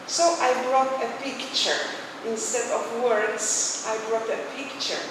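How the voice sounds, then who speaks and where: Slow Polish-accented woman in an echoing hall. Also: on the high side, fairly even intonation. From Poland. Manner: halting, searching for words in non-native English. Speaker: religious leader, speaker. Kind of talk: informal closing speech with spiritual reflection. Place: large echoey hall, speaking to a gathering. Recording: echoey hall from far off, noisy.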